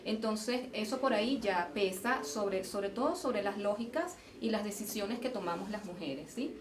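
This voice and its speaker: Venezuelan woman, thoughtful and halting. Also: mid-range voice. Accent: Venezuelan Spanish. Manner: thoughtful, many restarts and repeated words. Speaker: interviewee, geological engineer. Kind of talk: conversational interview. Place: event venue, indoors. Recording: roomy, distant mic, faint noise.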